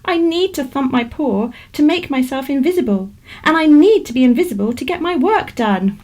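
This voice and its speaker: A woman's voice: sits high, lively intonation, normal speed, darkish tone, pitched above the performer's own voice. A woman voicing a small, worried female magical rabbit in a children's storybook, fretful and a bit bossy. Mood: anxious, thinking. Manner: fretful, exclaiming.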